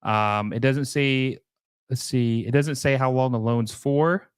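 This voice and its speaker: A man's voice: mid-range voice, a little slow, clean tone, dry recording, clean studio sound. A young man hosting a casual live stream, talking loosely with lots of fillers and restarts as he reads and answers viewer questions. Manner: rambling, many fillers and restarts. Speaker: livestream host.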